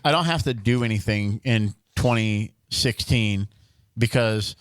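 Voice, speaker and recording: A man's voice: lowish voice, unhurried, clear, resonant tone, no echo. A young man co-hosting a podcast, telling long rambling stories with lots of restarts and jokes. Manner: rambling storyteller, joking, many restarts. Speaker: podcast co-host. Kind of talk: casual chat.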